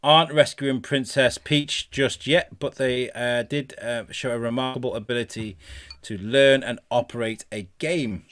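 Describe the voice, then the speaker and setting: Male comedy podcast host, mid-pitched, casual, halting reader with a sweary streak. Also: steady tone, fairly bright tone. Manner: halting read-outs, sweary asides. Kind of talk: casual comedy news chat, reading stories aloud.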